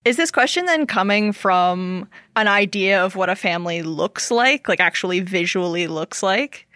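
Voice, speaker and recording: A woman's voice: medium pitch, lively intonation, normal speed, bright, forceful tone, no echo, studio quality. A woman co-hosting a podcast interview, eagerly introducing the guest and asking questions. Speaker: podcast co-host.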